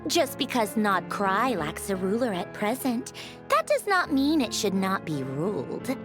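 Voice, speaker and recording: Game villainess: refined, pompous, menacingly polite; slow, very expressive delivery over clear background music. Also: female voice, mid-range voice. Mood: veiled menace. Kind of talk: theatrical scripted game dialogue. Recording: music behind the voice.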